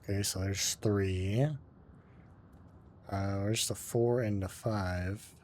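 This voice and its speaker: A man's voice: fairly low pitch, fairly even intonation, slow pace, a little mellow, slight background noise. A man hosting a gaming channel, giving casual commentary over his gameplay, rambling, talking to himself and mocking himself, often trailing into mumbles and humming as he plays.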